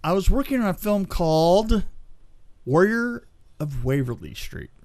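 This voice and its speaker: A man telling anecdotes in a casual, halting, animated way on a podcast, as an experienced film crew storyteller. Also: high voice, soft background music.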